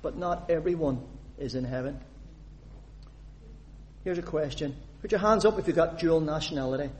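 A man from Northern Ireland preaching a sermon, putting questions to the congregation and reading scripture aloud in an animated way. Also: fairly high pitch, moderate pace. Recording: long hall reverb.

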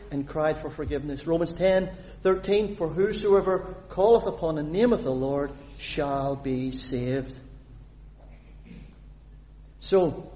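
A man from Northern Ireland preaches in a fairly high voice, lively and animated as he asks the congregation questions and reads scripture aloud. His voice rings with the long reverb of a church hall. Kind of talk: sermon.

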